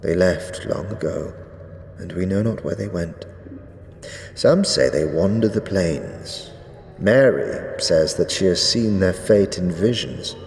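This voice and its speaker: A man playing an ancient, zealous skeleton high priest in a fantasy audio drama, speaking gravely in archaic, ceremonious phrases. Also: animated, a little mellow, heavily acted. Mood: measured, somber. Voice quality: deep, drawn-out delivery. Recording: echoey reverberant hall, faint noise.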